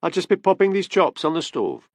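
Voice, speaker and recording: A man's voice: a little high, big pitch swings, fairly quick, dry recording, voice pushed up. A man voicing a cheerful, homely male housemate who does the cooking, chirpy in manner, in an audiobook story.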